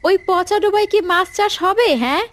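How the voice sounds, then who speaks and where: A woman's voice: very high voice, fairly even intonation, brisk. A woman playing a practical, admonishing village wife and mother in a cartoon family drama. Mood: exasperated, scolding.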